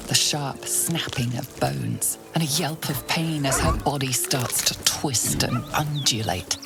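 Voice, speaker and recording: A grave female storyteller narrates dark fantasy in an extremely low, heavily creaking voice. The delivery is slow, hushed and ominous, with music underneath. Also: heavily acted. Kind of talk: scripted second-person game narration.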